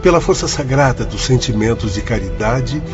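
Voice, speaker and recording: An earnest spirit helper, voiced by a man, declaims in a middle pitch. Soft music sits under a slightly muffled radio-drama recording. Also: lively intonation, moderate pace, close to an everyday voice. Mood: declaiming.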